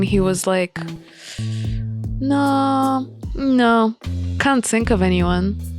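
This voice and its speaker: A woman reading a visual novel aloud with expressive narration and casual commentary. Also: fairly high pitch. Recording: music playing behind the voice. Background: music.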